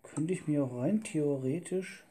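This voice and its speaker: A man's voice: fairly high pitch, a little slow, dark tone, a little hiss or hum. A man co-hosting a gaming video in casual let's play chat. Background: prominent plucked guitar music.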